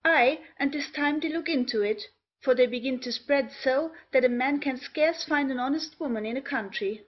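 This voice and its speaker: A woman's voice: high voice, normal speed, muffled recording. A woman playing a mocking, sardonic gossip in a period stage comedy.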